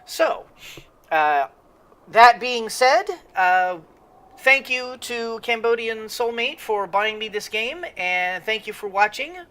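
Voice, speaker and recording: Sniffly, rambling gaming host. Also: male voice, high voice, lively intonation, slow, bright, forceful tone, clear, resonant tone. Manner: rambling with many fillers and restarts. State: sniffly with a cold. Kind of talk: casual let's-play commentary.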